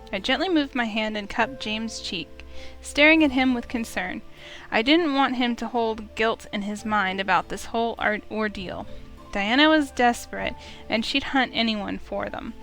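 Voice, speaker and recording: Female let's play host, fairly high voice, reads and presents a visual novel over soft game music. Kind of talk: casual intro and read-aloud story narration.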